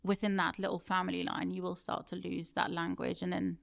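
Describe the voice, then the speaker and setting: A woman's voice: fairly low pitch, little pitch movement, a little fast, creaky, no room sound, studio quality. A woman in her thirties, born in Turkey and raised in the UK, speaking thoughtfully as an interview guest. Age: 30s. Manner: thoughtful, with frequent self-corrections. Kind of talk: reflective interview conversation.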